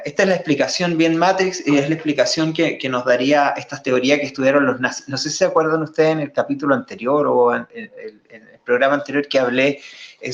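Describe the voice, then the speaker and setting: A man of middling pitch walks viewers through slides on a live stream. He explains in a halting way and keeps circling back over his own words. His voice comes through a muffled, lo-fi signal in a room with echo. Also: fairly bright tone. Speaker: guest presenter. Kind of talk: live online talk presenting slides.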